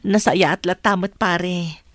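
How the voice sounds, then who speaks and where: A woman playing a concerned, patient mother in an audio drama, speaking in an earnest, measured way. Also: lowish voice, fairly even intonation, a bit bright, dry recording, clean studio sound.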